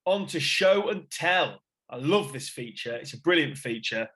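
A man's voice: on the high side, lively intonation, normal speed, fairly bright tone. A man co-hosting a podcast, bantering in a jokey, enthusiastic way. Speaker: podcast co-host. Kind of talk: casual banter.

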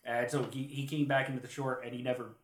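A man's voice: medium pitch, little pitch movement, fast. A male podcast co-host with a mid-range voice talks casually with friends about history. He feels his way through stories in a hesitant, meandering manner. The room adds a noticeable echo.

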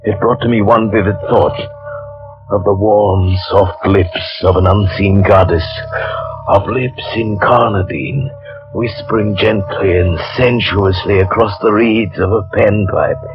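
An actor playing a grave, intense adventurer in a 1940s radio horror drama, telling his tale in measured, ornate, dramatic phrases. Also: fairly low pitch, steady tone, music in the background, lightly acted. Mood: entranced. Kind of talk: 1940s radio drama acting, first-person narration. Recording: muffled old 1940s radio recording.

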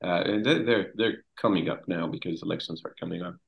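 A young man from Mexico talking casually as a podcast guest, halting and repeating words, trailing off quietly. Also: low-pitched, vocal fry, highs cut off. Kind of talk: casual conversation.

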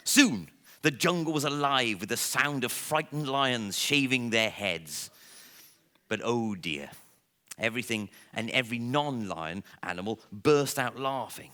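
A man reading a comic children's story aloud to listeners in a theatrical, playful way, with dramatic pauses. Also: medium pitch, expressive, pushed and bright. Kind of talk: expressive live storybook reading.

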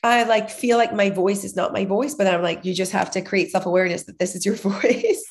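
A woman's voice: medium pitch, steady tone, fairly quick. Woman podcast host, kinda nasal, sick, super chatty, lots of ums and likes. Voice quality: slightly nasal. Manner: chatty, laughs often, many fillers. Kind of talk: casual podcast chat.

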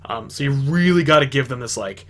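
A man's voice: medium pitch, fairly quick. A man hosting a comics talk show in a loose, chatty way with lots of fillers. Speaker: show host. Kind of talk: casual chat.

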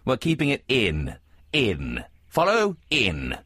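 A man's voice: mid-range voice, wildly swooping pitch, slow, fairly bright tone, no echo, clean studio sound, pitched above the performer's own voice, exaggerated voice. A man voicing a snobbish, insistent upper-class aristocrat in an improvised comic radio play. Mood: pompous pleading. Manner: pompous, insistent, repetitive.

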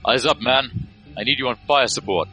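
An actor playing a calm, ruthless squad leader with a clipped, commanding manner in an action audio drama. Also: male voice, medium pitch, normal speed, soft background music, natural voice, low-quality recording. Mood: steely command.

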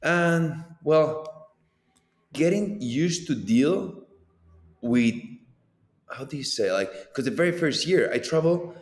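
A man's voice: a little high, takes it slowly, pauses often. A man in his thirties from Colombia, speaking English with a Colombian accent as a second language, chatting animatedly as a former pro racer in an interview. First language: Spanish. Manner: animated, laughs often, loose filler-heavy delivery. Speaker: former pro downhill mountain bike racer, guest. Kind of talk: casual conversational interview. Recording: roomy echo.

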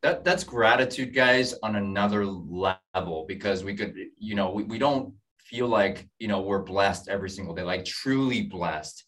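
A man's voice: lowish voice, moderate pace, few pauses, fairly bright tone. A man hosting an interview on a call, enthusiastic and often restarting his sentences. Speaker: host.

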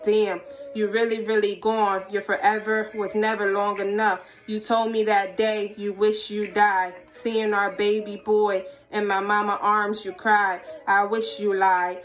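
Woman reciting spoken word, very even pitch.

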